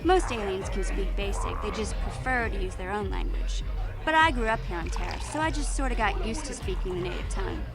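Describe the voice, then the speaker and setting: A woman's voice: medium pitch, expressive, a little fast, music in the background, natural voice. A woman playing a spunky, streetwise teenage alien girl in a video game, talking in a slangy, cocky, chatty way. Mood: chatty, friendly.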